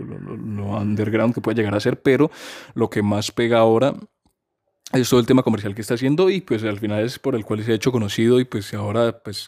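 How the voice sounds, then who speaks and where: A man's voice: medium pitch, darkish tone. A man, talking casually and at length about music, rambling with many restarts and fillers. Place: home during a power cut.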